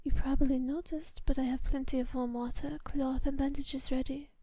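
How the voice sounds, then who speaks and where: A woman playing a kind, doting vampire mistress in an intimate ASMR roleplay, speaking softly and often whispering in refined, unhurried phrases. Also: sits high, level tone, no echo. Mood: tender, satisfied. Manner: soft, breathy, often whispered, unhurried endearments; refined, formal diction. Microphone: close microphone in a dry space.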